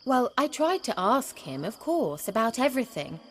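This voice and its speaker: An actress playing a haughty, sardonic sorceress in a video game, speaking in an arch and condescending way. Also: female voice, fairly high pitch, very animated, normal speed, slight background noise. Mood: teasing, exasperated. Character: haughty, sardonic sorceress in hiding as a village witch. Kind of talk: dramatic video game dialogue.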